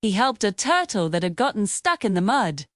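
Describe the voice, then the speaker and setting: A woman narrating a scripted children's bedtime story in an expressive, dramatic storytelling manner. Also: medium pitch, normal speed, no room sound, clean studio sound.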